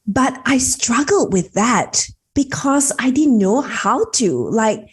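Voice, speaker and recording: A woman's voice: on the high side, animated, takes it slowly, breathy edge. A woman from Malaysia, a networking coach, telling her story in a chatty way with frequent fillers. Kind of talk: conversational storytelling.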